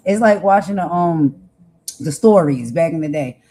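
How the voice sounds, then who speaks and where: A woman host with a slightly raspy voice, chatting casually and loosely with lots of fillers and slang. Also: sits low, expressive, normal speed, mellow, rough recording. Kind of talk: casual chat.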